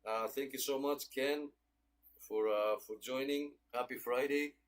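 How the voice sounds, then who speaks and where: A man's voice: medium pitch, fairly even intonation, measured pace. Male livestream host for a radio club check-in, mid-range voice, casual and friendly. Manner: friendly, thanking and greeting listeners. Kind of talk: casual livestream roll call of check-ins.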